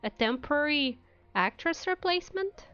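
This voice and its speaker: A woman's voice: high-pitched, expressive, normal speed, fairly bright tone, muffled recording, soft background music. A woman streaming a game, chatting casually and musing aloud, trailing off mid-thought. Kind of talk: casual livestream chat over a game.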